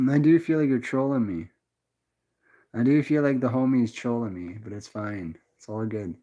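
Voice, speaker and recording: A relaxed young Canadian man talks loosely to his viewers at a medium pitch. He rambles, restarts his thoughts and keeps calling people brother. The sound is a little dull and lo-fi. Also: moderate pace. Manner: laid-back, rambling, calls everyone brother. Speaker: livestream host reading chat. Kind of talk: casual livestream chat.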